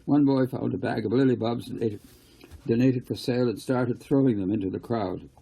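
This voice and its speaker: Gravelly, mellow male storyteller. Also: medium pitch, fairly quick, poor audio. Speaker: author reading his own novel. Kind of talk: storybook narration.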